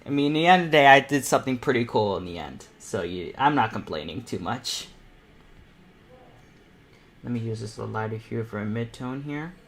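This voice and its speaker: A young man of eighteen speaks at a middle pitch as he hosts a painting demonstration. His delivery is informal and conversational, like a live tutorial. He tends to ramble and think out loud while he works. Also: lively intonation, a little fast, creaky. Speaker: self-taught artist hosting a painting panel.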